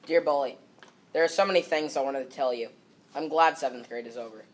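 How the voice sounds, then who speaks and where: A man's voice: mid-range voice, brisk. A seventh-grade boy performing a scripted readers theater monologue. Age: about 12 to 13, seventh grader.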